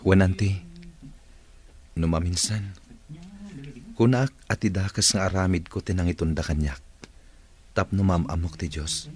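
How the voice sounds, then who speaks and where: Deep-voiced, repentant young male prisoner. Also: measured pace, pauses often, a little mellow. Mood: remorseful, earnest. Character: repentant, humble young male prisoner, born-again believer. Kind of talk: Ilocano radio drama acting.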